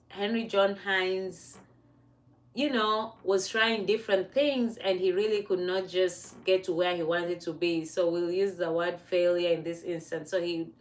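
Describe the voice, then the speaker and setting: Chatty Kenyan woman on a livestream. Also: mid-range voice. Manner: chatty, laughs often, stops to correct her own wording. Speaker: livestream host. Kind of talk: casual motivational livestream.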